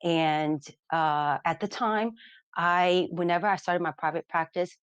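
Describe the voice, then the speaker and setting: A woman's voice: fairly low pitch, steady tone, unhurried, clean tone, no room sound, highs cut off. A middle-aged woman chiropractor whose first language is Vietnamese, giving unscripted advice to colleagues with many fillers and restarts. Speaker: chiropractor presenting. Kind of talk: unscripted webinar talk.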